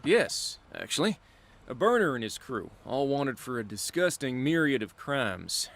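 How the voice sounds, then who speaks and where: A lively, folksy frontier lawman. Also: medium pitch, measured pace. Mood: businesslike. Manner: animated, encouraging, colloquial. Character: genial, folksy frontier lawman in a post-apocalyptic western. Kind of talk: video game cutscene acting. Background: background music.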